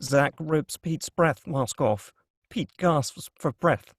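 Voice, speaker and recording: A man's voice: on the high side, takes it slowly, no echo, studio quality. A man narrating a screenplay, reading out scene headings and stage directions. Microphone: close, dry microphone.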